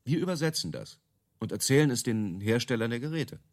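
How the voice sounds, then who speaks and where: Male actor as cocky ad guy in a radio drama, super lively pitch swings, emphatic n dismissive, very sure of himself. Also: fairly quick, lightly acted. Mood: self-assured. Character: brash, self-assured male product-marketing creative selling gadget stories. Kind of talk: radio drama dialogue in interview form.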